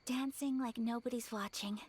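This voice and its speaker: A woman playing a gentle, graceful young noblewoman in a video game, speaking softly, politely and tenderly with an earnest air. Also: on the high side, fairly even intonation, moderate pace, husky. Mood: wistful, soft.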